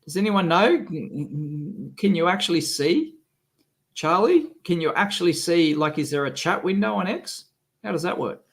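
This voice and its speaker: Grumbling man with a cold, lively pitch. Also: a little slow. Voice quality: rough, sick-sounding voice. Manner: grumbling, exasperated, swears freely. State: has a cold, coughing. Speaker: electronics video host. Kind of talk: casual live stream chat.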